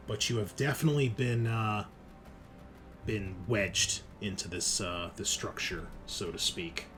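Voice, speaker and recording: A man improvising scene narration as game master of a tabletop roleplaying game, with halting, hesitant pauses. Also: medium pitch. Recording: noticeable background noise.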